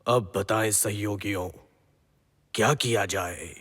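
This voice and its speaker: Righteous king, middle-aged, male actor, mythological audio drama. Unhurried, stately, measured delivery, hall-like echo. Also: on the low side, fairly bright tone, full character voice. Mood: calm, pondering. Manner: slow, solemn, formal, measured pronouncements. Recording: long hall-like reverb.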